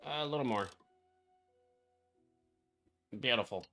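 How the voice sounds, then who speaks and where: A woman's voice: rumbling low, animated, fast. A woman streaming a game, giving casual, profane reaction commentary and muttering to herself. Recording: game music behind.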